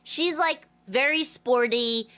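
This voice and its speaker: Woman, streamer, stuffy nasal congested voice, high pitch, rambling chatty storytelling. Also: slow. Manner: rambling, animated storytelling with many restarts. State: congested, nose blocked. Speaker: game streamer. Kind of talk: casual livestream chat.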